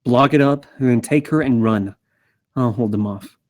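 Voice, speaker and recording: A man reading a grave, protective male character at a screenplay table read, measured and understated. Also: mid-range voice, darkish tone, close to an everyday voice. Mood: resolute. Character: grave, protective male Rabbit, steady and reassuring.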